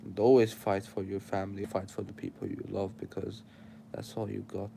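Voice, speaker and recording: A man's voice: on the low side, little pitch movement, moderate pace, gravelly, dark tone, a little hiss or hum. A young man with an Arabic accent, sleepy and tired, preaching casual life advice straight to his livestream chat.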